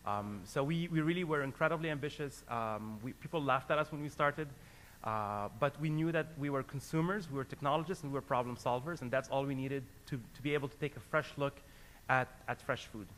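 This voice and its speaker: A man's voice: mid-range voice, brisk, fairly bright tone, slight background noise. A man who grew up in Lebanon, a company founder giving a business presentation to an audience, earnest and hesitant, with many fillers and restarts.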